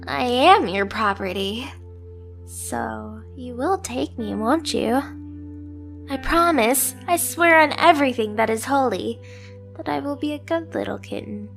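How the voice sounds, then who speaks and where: A woman's voice: fairly high pitch, measured pace, vocal fry, a bit bright. A woman voicing a submissive, obsessively devoted stray cat girl in an anime ASMR roleplay, with a girlish, eager, self-deprecating manner. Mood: meek, pleading. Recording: music behind the voice. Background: music.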